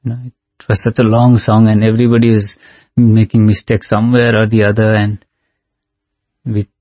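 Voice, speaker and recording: A man whose first language is Nepali, a devotional singer telling stories in an unhurried way, pausing often. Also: fairly low pitch, little pitch movement, mellow, dry recording, highs cut off. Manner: unhurried storyteller, pausing with "uh" and light laughs. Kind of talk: reminiscing in conversation.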